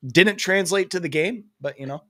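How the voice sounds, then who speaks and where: A man's voice: high-pitched, moderate pace, a bit bright, dry recording, clean studio sound. A young man with a head cold, rambling casually as co-host of a sports podcast, full of fillers and self-deprecating asides.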